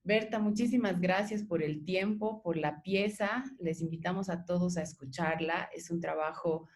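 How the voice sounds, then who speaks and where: A woman with a Bolivian Spanish accent and a notably low voice speaks as an interviewer. She is articulate and discursive, developing her thoughts reflectively and checking in with little tag questions. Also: moderate pace. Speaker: interviewer, host of a radio art programme.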